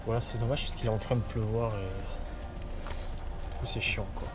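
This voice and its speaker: A man's voice: lowish voice, steady tone, unhurried, over music. A man from southwestern France chatting casually to camera as a vlogger, informal and slangy with plenty of swearing. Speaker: car vlogger.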